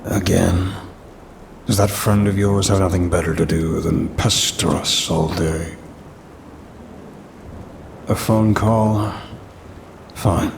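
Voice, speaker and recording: A man as a deep, slow-spoken haughty ancient demon. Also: steady tone, creaky, slight background noise, heavily acted. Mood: irritated. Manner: formal, pompous, dry complaining. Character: centuries-old male demon, haughty, grumbling, possessive yet caring. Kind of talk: scripted audio roleplay, ASMR.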